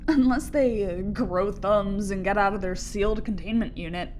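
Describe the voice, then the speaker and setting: A woman's voice: mid-range voice, moderate pace, a little mellow, a little hiss or hum, lightly acted. A woman playing a meek, eager research assistant in an audio drama, earnest, hesitant and apologetic.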